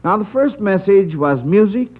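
A folksy male quizmaster with a fairly high, very animated voice. He chats jovially as he puts questions to contestants on a thin, band-limited old radio broadcast. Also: normal speed, phone-quality sound. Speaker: quiz show host.

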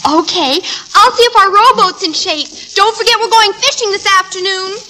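A woman's voice: very high voice, moderate pace, bright, forceful tone. An actress playing a sweet, perky, girlish and eager daughter in a classic radio sitcom. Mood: cheery. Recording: old radio broadcast, faint noise and crowd.

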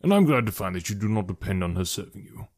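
A man voicing a calmly ironic middle-aged gentleman father in an audiobook, measured, formal and deadpan. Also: lowish voice, creaky. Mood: dry. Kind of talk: audiobook character voice.

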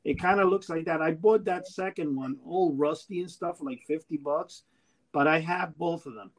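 A middle-aged man from Cuba chatting casually and excitably, often repeating his words. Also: fairly high pitch, normal speed, rough recording. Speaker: toy and memorabilia collector. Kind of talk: casual chat.

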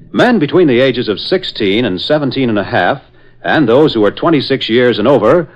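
A man's voice: medium pitch, highs cut off. An American man announcing and narrating in dramatic, emphatic 1940s radio style.

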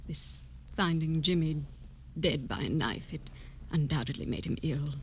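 A woman playing a gentle, troubled hospital nun with a hushed, hesitant manner in a vintage radio drama. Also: low-pitched, unhurried. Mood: earnest, uneasy. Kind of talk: 1950s radio drama acting. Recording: noisy old radio recording.

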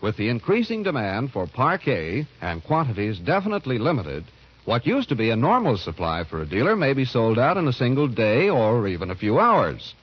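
A man's voice: mid-range voice, wildly swooping pitch, moderate pace, husky. An American man announcing in the style of 1940s radio, delivering scripted sponsor messages and credits. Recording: muffled 1940s radio recording.

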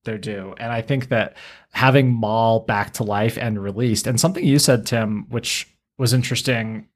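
A man's voice: on the low side, moderate pace. A man hosting a podcast, talking casually and enthusiastically as a fan. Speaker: podcast host.

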